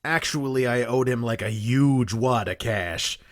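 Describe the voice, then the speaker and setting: An actor playing a chatty, easily offended demon with sarcastic, casual wisecracks in a comedic radio play. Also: male voice, mid-range voice, a little slow, natural voice. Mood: sardonic.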